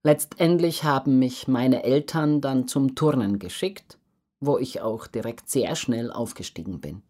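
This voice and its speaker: Low-voiced woman with a mellow tone. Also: measured pace. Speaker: interview guest, professional circus acrobat. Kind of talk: interview answers.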